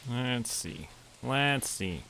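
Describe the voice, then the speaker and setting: A man's voice: medium pitch, animated, drawn-out words, creaky, noisy background. A man chatting casually to himself as he plays a game on stream. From Canada. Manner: talks to himself while playing. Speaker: streamer playing a farming game.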